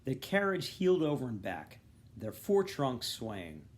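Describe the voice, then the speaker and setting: A man giving an expressive, animated reading of a novel, acting out the dialogue. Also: medium pitch, a little slow. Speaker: volunteer reader. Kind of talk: expressive literary audiobook-style reading.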